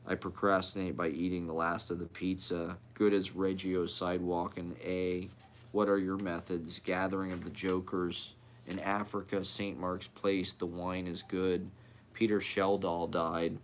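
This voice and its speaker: Low, flat-voiced man reading poetry. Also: slight background noise. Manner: short clipped phrases, one fragment at a time. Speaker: poet.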